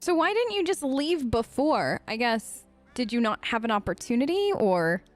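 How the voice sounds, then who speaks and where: High-voiced chatty woman gamer. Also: very animated, moderate pace, soft background music. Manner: chatty, conversational, reacts with questions. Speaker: let's play host. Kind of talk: improvised in-character role-play commentary.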